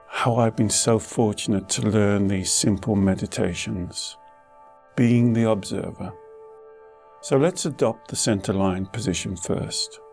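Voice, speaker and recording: A man speaking as a meditation teacher, giving gentle guided instruction in an unhurried, reassuring manner with pauses between short phrases. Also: fairly low pitch. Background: soft instrumental music.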